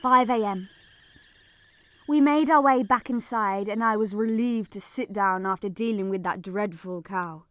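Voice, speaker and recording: A woman playing an eager young Punjabi village girl, telling her story in expressive first-person dramatic narration. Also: medium pitch, a little slow, dry recording, natural voice. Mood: reflective.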